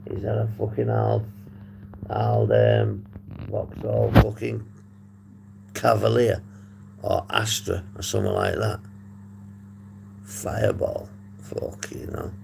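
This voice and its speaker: A man hosting a casual livestream, hoarse and husky, rambling and swearing as he reads the chat. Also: lowish voice, animated, slow pace, long pauses. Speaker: livestream host. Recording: noisy background hum.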